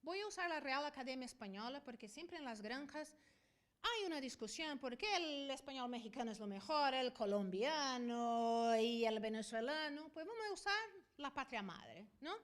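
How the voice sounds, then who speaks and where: A middle-aged woman from Brazil, a Portuguese native speaker who speaks Spanish picked up in Spain, giving a conference talk as a veterinarian and professor, often checking in with a quick "ok?". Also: high voice, expressive. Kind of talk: conference presentation.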